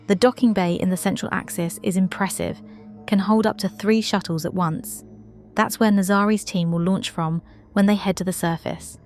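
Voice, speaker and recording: A woman's voice: lowish voice, darkish tone, natural voice. Young female space officer, dutiful and modest, reflective log monologue for sci-fi audio drama played by a woman.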